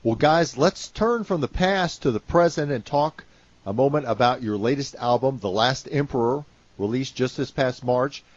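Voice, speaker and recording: A man hosting a podcast, putting interview questions to his guests in a clear, formal way. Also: mid-range voice, moderate pace, no room sound. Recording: dry, dull lo-fi sound.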